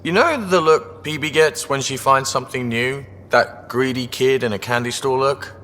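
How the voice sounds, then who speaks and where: A man's voice: mid-range voice, normal speed, bright, forceful tone, long reverb tail, a little hiss or hum, lightly acted. An actor playing a wisecracking, easygoing male crewman in a video game, with colloquial, wry banter. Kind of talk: video game dialogue acting.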